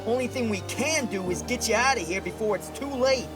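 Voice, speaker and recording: An actor playing a brash, streetwise flying sidekick in an audio drama, cocky and loyal, full of folksy slang. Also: male voice, extremely high pitch, brisk, over music. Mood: worried.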